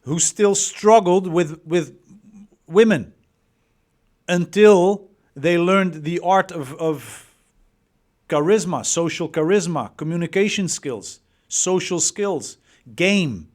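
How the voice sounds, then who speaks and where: A man's voice: sits high, expressive, slow pace, darkish tone. A man in his forties from the Netherlands with a Dutch accent, giving an emphatic motivational coaching talk with rhetorical questions and repeated words. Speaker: dating coach.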